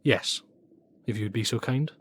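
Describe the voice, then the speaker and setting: A man playing a Nazi official in an audio drama, formal and insinuating, with quiet menace under his courtesy. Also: on the low side, a little slow, slightly rough. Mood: flattering, insinuating.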